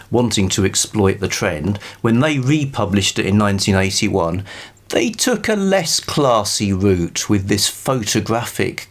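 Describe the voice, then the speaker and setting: A man with a fairly low voice talks through a casual book review. He is chatty and rambling, thinking as he goes, and delivers his opinions with wry understatement.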